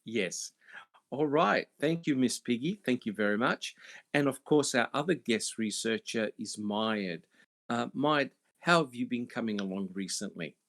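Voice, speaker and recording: A man hosting a casual panel podcast, speaking haltingly with many fillers. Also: medium pitch, animated, a bit bright. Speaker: podcast host.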